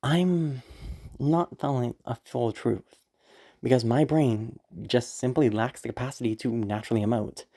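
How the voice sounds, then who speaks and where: A woman with a low, deep voice, speaking casually in an afterword. She is a little sick, her throat is giving out, and she rambles with frequent short laughs. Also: a little slow, dark tone. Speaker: audio roleplay creator. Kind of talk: casual spoken afterword, explaining.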